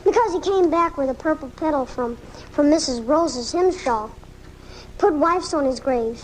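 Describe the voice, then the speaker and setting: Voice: high-pitched, normal speed, slight background noise, close to an everyday voice, rough recording. An actor voicing a sickly, lonely, eager schoolboy in a 1980s live radio drama, on a home recording taken off the air. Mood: earnest. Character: sickly, lonely, eager schoolboy who adores his dog.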